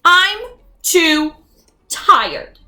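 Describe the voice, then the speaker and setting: A woman's voice: very high-pitched, very animated, extremely slow, sharp tone, pitched above the performer's own voice, full character voice. A woman voicing a cheerful little male frog child in a storybook read-aloud, with plain childlike exclamations.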